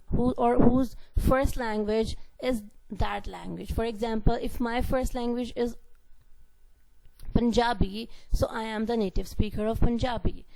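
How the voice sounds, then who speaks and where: A woman's voice: fairly high pitch, vocal fry, mellow, poor audio. A woman lecturing on grammar, explaining patiently and rephrasing each point. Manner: explanatory, repeats and rephrases points, reads out example sentences. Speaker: university lecturer. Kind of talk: classroom lecture.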